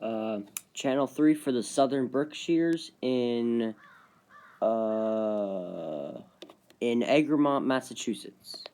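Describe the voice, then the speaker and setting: A man's voice: medium pitch, expressive, slow, warm tone, a little hiss or hum. A man giving casual, off-the-cuff vlog commentary in a rambling, conversational way. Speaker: vlogger.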